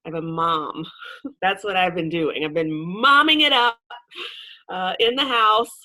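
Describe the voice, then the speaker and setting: A woman's voice: fairly low pitch, unhurried, bright, forceful tone. A woman playing a frazzled, manic mom. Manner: manic, repetitive, nervous laughter. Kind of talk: improv comedy sketch.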